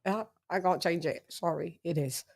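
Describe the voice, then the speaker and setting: A woman's voice: on the low side, unhurried, creaky, a little mellow. A woman with an English accent hosting a casual live chat, laughing often and switching between English and Spanish. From England. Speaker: livestream host.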